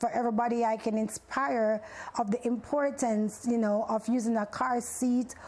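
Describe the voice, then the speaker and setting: A woman's voice: fairly high pitch, steady tone, moderate pace, darkish tone. A woman from Jamaica, giving personal testimony in an interview. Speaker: bereaved mother being interviewed.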